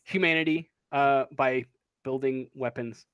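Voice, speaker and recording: A woman narrating an improvised tabletop game as game master, speaking informally and haltingly. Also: rumbling low, steady tone, smooth, no room sound, lo-fi sound.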